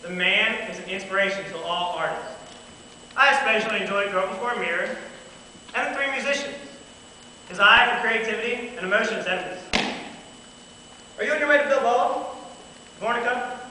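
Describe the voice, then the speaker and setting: A man's voice: high voice, fairly quick, long pauses, pushed and bright. A man acting an eager, effusive newspaper photographer in an amateur stage play. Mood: enthusiastic, admiring. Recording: echoey hall from far off, faint noise.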